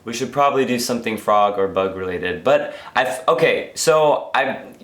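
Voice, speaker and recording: Hoarse, tired young man, echoey room. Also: medium pitch, animated, moderate pace, fairly bright tone. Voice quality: rambling, self-interrupting. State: hoarse, losing his voice from illness; tired after a long work shift. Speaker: vlogger. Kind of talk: casual daily vlog.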